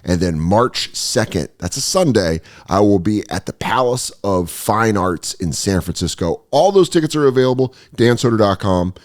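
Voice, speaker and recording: A male comedian hosting a podcast with an animated, swinging pitch. He riffs loosely and playfully. Now and then he slips into quick mocking impressions. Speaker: podcast host and stand-up comedian. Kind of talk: casual comedic podcast chat.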